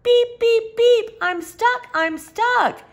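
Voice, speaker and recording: A woman's voice: very high voice, slow pace, lo-fi sound, pitched above the performer's own voice, full character voice. A woman voicing a small, friendly male pickup truck in a children's storybook, exclaiming in short calls and beeps. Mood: alarmed, pleading.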